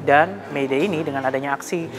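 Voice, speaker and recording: A man's voice: on the high side, fairly quick, a crowd in the background. A man giving an earnest interview statement about organizing, explaining steadily with small restarts. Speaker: union chair speaking at panel.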